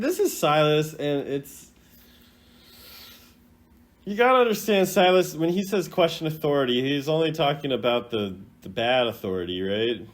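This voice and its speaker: A man giving casual, off-the-cuff commentary as a video host, rambling and playfully opinionated. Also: on the high side. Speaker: video host rating game voice lines.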